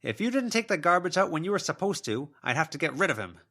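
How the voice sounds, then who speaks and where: A man's voice: a little high, sing-song, fast, bright, forceful tone, pitched above the performer's own voice, full character voice. A man voicing the ghost of an older woman, pleading, aggrieved and full of excuses, in an audiobook. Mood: pleading, defensive.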